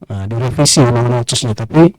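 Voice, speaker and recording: A man panelist making a measured point in a discussion. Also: mid-range voice, darkish tone, no echo.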